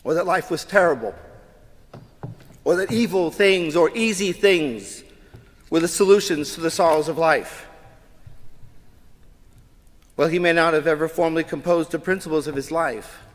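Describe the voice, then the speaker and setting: A middle-aged man, a priest, delivering a funeral homily from the pulpit in a measured, solemn way, rising in emphasis at key points. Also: fairly high pitch. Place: large church, indoors. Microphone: pulpit microphone. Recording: long church reverb.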